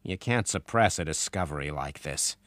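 Man as a low, subdued, wry scientist. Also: expressive, normal speed, a bit bright, dry recording, studio quality. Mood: quietly defiant. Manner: subdued, resigned. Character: quiet, wry, wistful male scientist.

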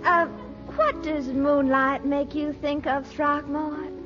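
A coy, gushing sweetheart in a 1940s radio comedy. Her high voice coos slowly and dreamily over clear music on a muffled old recording. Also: steady tone. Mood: coy, dreamy.

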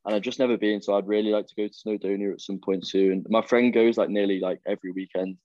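A man's voice: fairly low pitch, steady tone, fast talker, a little mellow, dry recording. A young man, chatting as a podcast guest and rambling through plenty of fillers and restarts. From the Wirral, England. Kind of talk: casual chat. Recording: dull lo-fi, dry.

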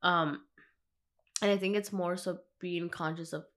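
A young woman co-hosting a casual podcast, relaxed and talkative, with a nagging cough. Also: fairly low pitch, measured pace. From Southern California. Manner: relaxed, talkative, lots of "like" fillers. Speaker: podcast co-host. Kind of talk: casual chat.

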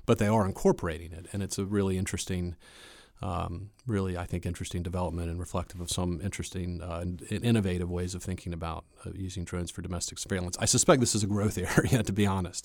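Male expert guest. Low register, conversational explanatory delivery, measured, dry recording. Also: darkish tone, no room sound. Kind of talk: conversational expert analysis in an interview.

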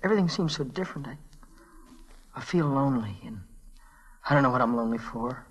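An actor playing a naive, earnest teenage messenger boy with an American accent and halting speech, in old-time radio drama style. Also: mid-range voice, animated, breathy edge, lo-fi sound. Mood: troubled, wistful.

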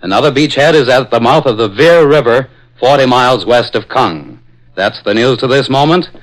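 A man's voice: mid-range voice, normal speed, rough recording. An American man reading a wartime news bulletin in formal, clipped 1940s radio style.

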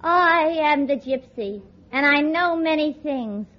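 A woman's voice: high-pitched, lively intonation, a little slow, dull audio. An actress playing a brassy, dim young woman pretending to be a mysterious fortune teller in a 1940s radio comedy. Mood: mystic. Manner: put-on mysterious drawl, dragging out words.

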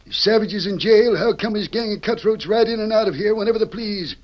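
Man playing a high-voiced crotchety frontier storekeeper. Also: fast, natural voice. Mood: indignant. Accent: American Western. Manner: crotchety, folksy. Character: bony, indignant old frontier storekeeper. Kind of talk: 1940s radio western acting. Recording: dull vintage radio recording.

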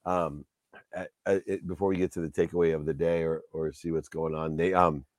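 A man's voice: a bass voice, flat delivery, a little fast, dry recording, clean studio sound. A man hosting a casual interview, talking in an enthusiastic, rambling way and heaping praise on his guest. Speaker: podcast host.

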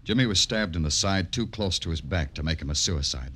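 An actor playing a weary, hardboiled police detective, brooding and poetic in the style of 1950s radio noir. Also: male voice, sits low, animated, fairly quick, bright, forceful tone, exaggerated voice. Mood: businesslike, somber. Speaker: detective narrator and lead. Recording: old radio recording with music behind.

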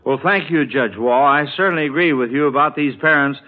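A man's voice: on the high side, a little fast. An American man hosting a mid-century radio quiz show in a folksy announcer's delivery, on a vintage radio recording. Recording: vintage radio, narrow telephone-like band.

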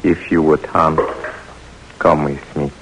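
An actor playing a solemn, secretive foreign guide who speaks terse, halting English, in 1940s radio drama style on an old recording. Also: male voice, a bass voice, flat delivery, fairly bright tone, slight background noise, full character voice, rough recording.

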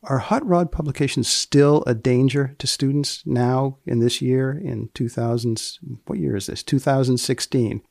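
A man hosting a podcast in a deadpan, mock-serious way, moving between scripted narration and wry questions. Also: mid-range voice, measured pace, gravelly, few pauses, no echo, clean studio sound. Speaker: podcast host.

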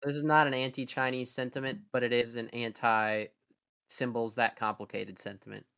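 A man's voice: mid-range voice, flat delivery, normal speed, vocal fry, fairly bright tone, smooth. A young man co-hosting a podcast, chatting casually in a rambling, sarcastic way with frequent restarts. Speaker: podcast co-host. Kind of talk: casual chat.

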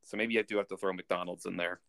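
A man hosting a casual sports podcast, talking in a halting way as he thinks out loud. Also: low-pitched, steady tone, gravelly, no echo, studio quality.

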